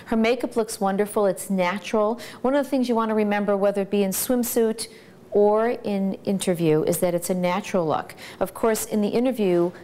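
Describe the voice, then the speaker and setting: A middle-aged woman, a pageant coach and judge, giving measured, encouraging advice in an instructional video. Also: medium pitch, warm tone.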